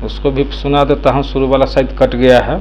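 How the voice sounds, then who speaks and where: A man's voice: medium pitch, level tone, fairly quick, a little mellow, a little hiss or hum, low-quality recording. A man, giving spoken commentary to viewers as a local news presenter. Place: small indoor room.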